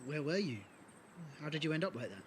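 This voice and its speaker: A woman's voice: low voice, big pitch swings, a little fast, background noise. A woman playing a friendly, shy young settler in an audio drama, eager and easily flustered. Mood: soft.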